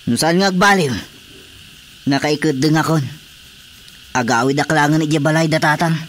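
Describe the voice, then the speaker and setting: High, forceful Ilocano jealous husband played by a man. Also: normal speed, a little hiss or hum, natural voice. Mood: bitter. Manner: forceful, confrontational. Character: angry, jealous husband fed up with a cat. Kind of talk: radio drama acting.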